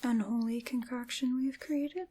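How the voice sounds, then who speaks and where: Woman as dark witch, breathy whisper, unhurried, sinister, coaxing, formal old-fashioned phrasing, ASMR roleplay. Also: on the high side. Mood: scheming, hushed. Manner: slow, theatrical, formal old-fashioned wording.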